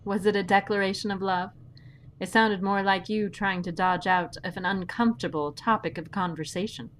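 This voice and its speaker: An actress playing a composed, principled, pedantic heroine in an audio drama, speaking in a measured, dry, precise way. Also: female voice, medium pitch, steady tone, a little hiss or hum, natural voice. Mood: dry, teasing.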